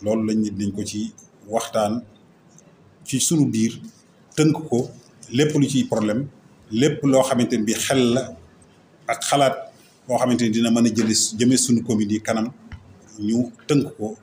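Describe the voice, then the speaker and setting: A man with a Senegalese accent speaking as a local mayor, making a semi-formal announcement in a halting, list-like way. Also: mid-range voice, steady tone, darkish tone. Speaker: local mayor and association vice-president. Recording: roomy echo, faint background noise.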